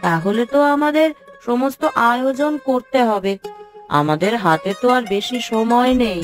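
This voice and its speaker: A woman's voice: a little high, animated, moderate pace, lightly acted. A woman voicing a homely village wife in a cartoon, eager and coaxing. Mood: pleased, practical. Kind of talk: cartoon voice acting. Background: instrumental music.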